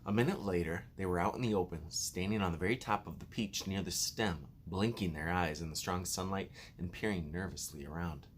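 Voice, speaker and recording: Low-voiced man, encouraging teacher reading aloud. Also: brisk, few pauses, a little hiss or hum. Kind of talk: casual chat and storybook read-aloud.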